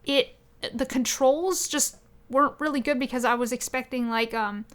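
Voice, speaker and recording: A woman co-hosting a podcast, chatting casually about video games in an animated, expressive way. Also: high-pitched, moderate pace, smooth. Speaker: podcast co-host.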